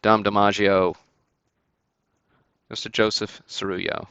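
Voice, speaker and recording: Deep gravelly man calling card breaks. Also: level tone, unhurried, a bit bright, no echo, highs cut off. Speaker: host of a sports card break livestream. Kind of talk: casual livestream commentary, calling out cards and buyers.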